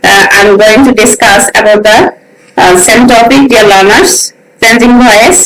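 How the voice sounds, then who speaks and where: A woman's voice: on the high side, normal speed, fairly bright tone, low-quality recording. A woman with a Bangladeshi accent, a native Bengali speaker, teaching an online grammar lesson and repeatedly addressing her students directly.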